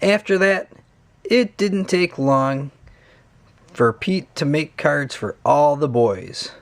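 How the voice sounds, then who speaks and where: Guy reading a kids picture book out loud, highish voice, really slow and sing-song. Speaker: storybook narrator. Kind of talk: children's read-aloud narration.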